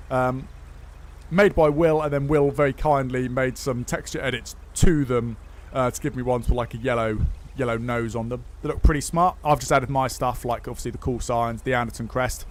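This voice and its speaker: A man's voice: mid-range voice, slight background noise. A man chatting casually on a game livestream, answering viewer questions with lots of fillers and restarts. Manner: rambling, many fillers and restarts. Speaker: streamer.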